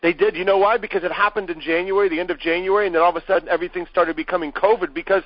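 A man hosting a casual chat, telling animated stories and swearing now and then. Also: high voice, racing, no room sound. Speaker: podcast host. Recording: dry, dull lo-fi sound.

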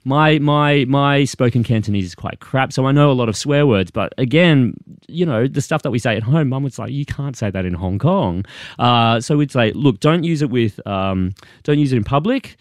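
A young adult Australian man, a guest author, telling wry, animated stories in a casual interview. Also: mid-range voice, moderate pace, dry recording, clean studio sound.

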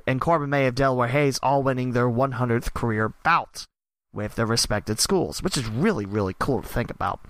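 A hoarse-voiced man with a bright, pressed tone runs through a long list of results in a steady, listing rhythm. His voice sounds strained and worn. The recording is dry and close, with no room sound. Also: mid-range voice, no room sound. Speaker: podcast host and wrestling announcer. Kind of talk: reading results, then casual reflection.

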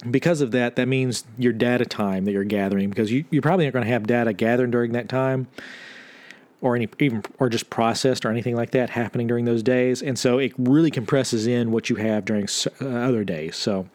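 A man's voice: medium pitch, darkish tone, no echo. A man hosting a podcast solo, giving news commentary in a conversational, explanatory way with informal wording. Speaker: podcast host.

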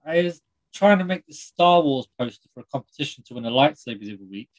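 A man's voice: mid-range voice, animated, quick pace, poor audio. A British man co-hosting a podcast with casual banter. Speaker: podcast co-host.

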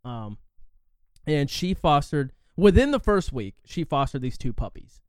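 A man's voice: fairly high pitch, big pitch swings, a little slow, a little mellow, smooth, no echo. Rambling man in his twenties. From Indiana. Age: 20s. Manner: rambling, profane, frequent fillers. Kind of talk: casual chat.

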